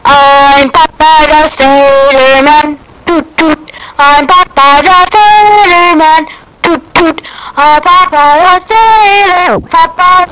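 A woman's voice: high-pitched, slow pace, fairly bright tone, soft background music, poor audio. A female voice in a casual amateur performance on a 1960s home tape recording.

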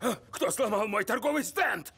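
An irritable cartoon boss with an extremely high, gravelly voice. He blusters and barks in a lively way, in a reverberant space. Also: moderate pace, full character voice. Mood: angry. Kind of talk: animated film dubbing. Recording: reverberant, slight background noise.

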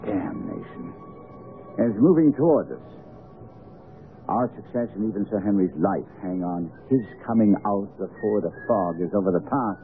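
An actor playing a tense, commanding detective in a radio mystery drama, speaking urgently and dramatically. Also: male voice, lowish voice, wildly swooping pitch, normal speed. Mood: anxious, urgent. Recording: tinny band-limited radio broadcast with music.

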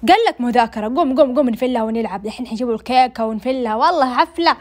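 A woman's voice: on the high side, racing, darkish tone, lightly acted. A Saudi-accented woman voicing a playful, pushy, cake-loving fairy godparent in an amateur cartoon dub, coaxing insistently. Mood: urging, playful.

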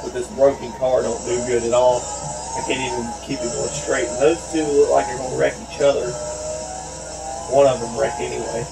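A man's voice: mid-range voice, level tone, normal speed, creaky, music in the background. An American man with a slightly scratchy voice gives casual, folksy commentary while playing a racing game. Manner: folksy, colloquial. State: scratchy voice from shouting and allergies. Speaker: gaming channel host.

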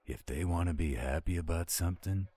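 A man's voice: sits low, brisk, mellow, husky, no room sound. An actor playing a laid-back, teasing male werewolf with an easygoing drawl in an audio roleplay. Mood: calm, reassuring.

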